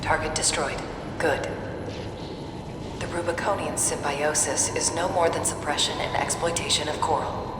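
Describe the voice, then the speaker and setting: A woman's voice: sits low, fairly even intonation, moderate pace, heavy background noise. A woman voicing a formal, detached and enigmatic informant in a video game, heard as a reverberant transmission. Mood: detached, courteous. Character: formal, enigmatic female informant, coolly courteous. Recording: long echoing reverb, loud game music. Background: loud music.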